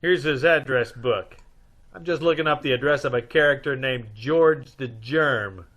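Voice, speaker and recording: A man playing a brisk, suave, wisecracking private detective in an old-time radio comedy-mystery. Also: medium pitch, fairly bright tone, lightly acted. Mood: businesslike.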